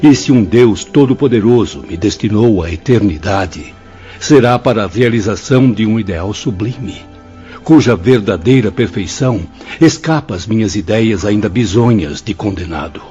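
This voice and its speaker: An actor with a Brazilian accent playing a repentant spirit, a former professor, in an impassioned, declamatory radio drama style. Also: male voice, lowish voice, animated, normal speed, warm tone, close to an everyday voice. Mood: resolute. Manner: impassioned, pompous, declamatory oratory. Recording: dull lo-fi audio with music behind. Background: music.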